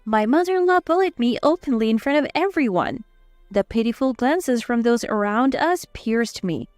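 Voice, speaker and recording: Female narrator for scripted family drama, high smooth voice, dry close sound over music. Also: expressive, normal speed, darkish tone, dry recording. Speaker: story narrator. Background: background music.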